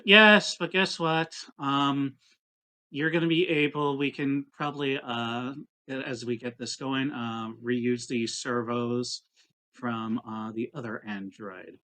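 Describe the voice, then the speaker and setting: A man playing a tabletop roleplaying game, casually narrating his characters' actions in halting, piecemeal phrases. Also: mid-range voice, steady tone, pushed and bright.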